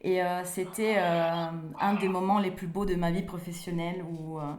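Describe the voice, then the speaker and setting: A woman's voice: fairly low pitch, fairly even intonation, moderate pace, slight background noise. Woman speaking French in a roomy space. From Italy. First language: Italian. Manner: hesitant, repeats words, soft laughs. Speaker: guest, playwright. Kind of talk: conversational interview. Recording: roomy echo.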